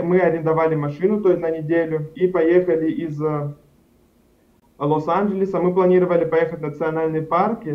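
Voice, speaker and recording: A young man from Karachay-Cherkessia answering interview questions over a video call, rambling with frequent fillers and self-corrections. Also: fairly high pitch. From Karachay-Cherkessia, Russia. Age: young adult. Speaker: studio guest. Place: indoors at home, plain wall. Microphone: video call webcam. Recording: dull lo-fi video call audio.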